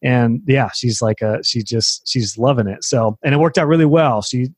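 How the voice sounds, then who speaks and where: A man talks through his course-building approach in a loose, conversational way, full of fillers and self-corrections. His voice sits at an ordinary middle pitch. The recording is dry and close, with no room sound. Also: normal speed, breathy edge, no echo. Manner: conversational, explains at length with fillers and restarts. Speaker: web design course creator. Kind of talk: casual podcast conversation.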